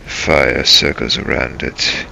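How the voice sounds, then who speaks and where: A man narrating a game role-play as a first-person story, in halting, broken phrases. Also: very deep voice, completely flat delivery, creaky, sharp tone, slight background noise, rough recording.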